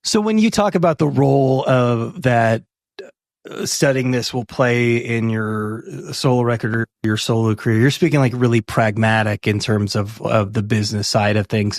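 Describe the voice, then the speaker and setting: A man's voice: on the low side, fairly even intonation, normal speed, no room sound, clean studio sound. A man hosting a podcast, asking long rambling questions with restarts in a casual tone. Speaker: podcast host.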